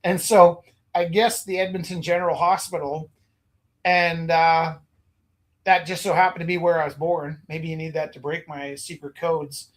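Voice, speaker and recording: A man from Alberta tells long, wandering stories in casual conversation, slipping into little acted-out quotes. His voice sits notably high for a man. He runs an art gallery and also teaches. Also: moderate pace. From Alberta, Canada. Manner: rambling, animated anecdotes with acted quotes. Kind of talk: casual storytelling chat.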